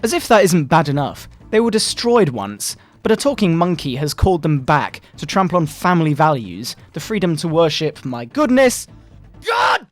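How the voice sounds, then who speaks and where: Man putting on a pompous, high-pitched fire-and-brimstone moral crusader. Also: big pitch swings. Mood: indignant, preachy. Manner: pompous, melodramatic preaching with drawn-out words. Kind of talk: mock-dramatic satirical reading.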